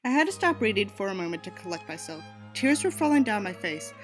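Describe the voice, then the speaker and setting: A woman's voice: medium pitch, big pitch swings, quick pace, soft background music. A woman reading a novel aloud with sardonic commentary, in an exasperated, sarcastic manner with sweary asides. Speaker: audiobook reader and commentator.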